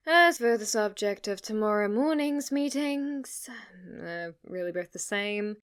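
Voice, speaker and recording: A woman's voice: medium pitch, expressive, measured pace, lightly acted. A woman as an obsessively devoted demon maid. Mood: playful, servile. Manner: reverent, submissive, breathy dips into whispering. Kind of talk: ASMR roleplay.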